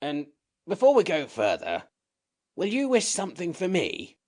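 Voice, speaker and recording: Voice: mid-range voice, huge pitch swings, a little slow, a little mellow, voice pushed up. A reader voicing a fussy, complaining sand-fairy creature that grumbles and turns tearful over having to grant wishes. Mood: plaintive. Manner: petulant, complaining, easily tearful. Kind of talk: storybook reading.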